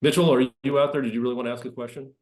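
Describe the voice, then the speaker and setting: A man's voice: medium pitch, fairly even intonation, racing, darkish tone, reverberant. A man with a cold giving conversational market commentary as presenter, talking in loose, rambling sentences. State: has a cold. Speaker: investment presenter.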